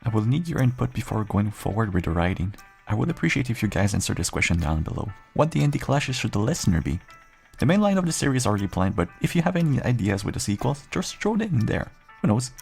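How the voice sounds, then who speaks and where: A man's voice: lowish voice, very animated, fast, creaky, darkish tone, faint music behind. A man speaking informally as a creator making an announcement to his listeners, casual and appreciative. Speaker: voice actor and writer of audio roleplays.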